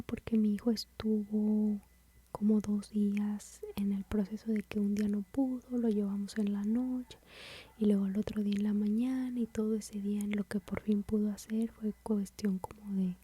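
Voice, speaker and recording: A woman with a Mexican accent whispering softly as she tells a personal story about motherhood, in a confiding, rambling way. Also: medium pitch, little pitch movement, moderate pace, slight background noise. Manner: soft, confiding, rambling with fillers.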